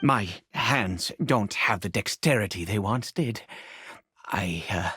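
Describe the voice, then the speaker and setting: A man voicing a weary, centuries-old cursed nobleman and father in a video game, speaking formally and haltingly, with grief. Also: fairly low pitch, animated, pushed and bright, husky, dry recording, clean studio sound, exaggerated voice. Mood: apologetic, weary. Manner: halting, hesitant, sighing, formal wording. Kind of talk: dramatic video game voice acting. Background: background music.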